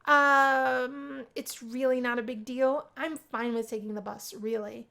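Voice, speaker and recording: A woman's voice: high voice, steady tone, unhurried, gravelly, sharp tone, full character voice. A woman voicing a shy, bookish young female college student in a visual novel read-through. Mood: hesitant.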